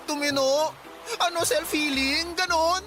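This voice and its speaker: Very high-voiced, dramatic young Filipino son. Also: female voice, fairly even intonation, unhurried, music in the background. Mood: sassy, indignant. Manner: whiny, dramatic, cheeky. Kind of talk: radio drama acting.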